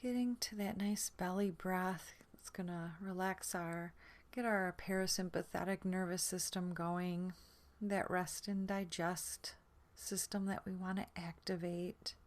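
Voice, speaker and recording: A woman leading a guided sleep meditation in a gentle, soothing manner, her soft voice often trailing into a breathy near-whisper. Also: on the low side, fairly even intonation. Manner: soothing, gentle, unhurried with long pauses.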